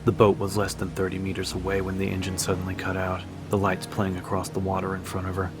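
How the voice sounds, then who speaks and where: A man with a very low voice narrates a dramatic story as an audiobook. His pitch moves very little, staying nearly monotone. Music plays clearly behind his reading. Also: fast.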